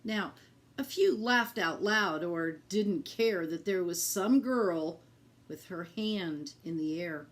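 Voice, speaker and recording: A woman reading a picture book aloud to children in an expressive, deliberate teacherly way, speaking directly to her young listeners. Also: lowish voice.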